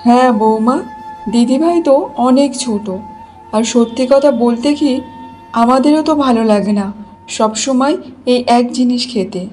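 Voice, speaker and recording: A woman voices an old cartoon grandmother with a mellow, slightly high voice. She is indulgent and gently chiding, with music behind her. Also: normal speed, pauses often, lightly acted, poor audio. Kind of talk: Bengali cartoon voice acting.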